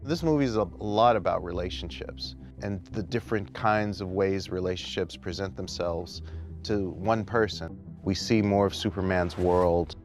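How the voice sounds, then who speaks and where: Male filmmaker, low register, interview commentary over light music. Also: moderate pace. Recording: music behind.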